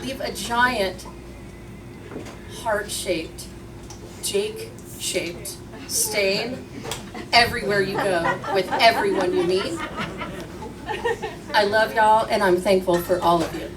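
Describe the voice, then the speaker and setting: A woman's voice: medium pitch, takes it slowly, pauses often, distant mic, crowd noise. Woman reading aloud in a noisy crowded venue. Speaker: memorial speaker reading a letter aloud. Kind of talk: emotional reading aloud at a memorial. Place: memorial gathering in a bar.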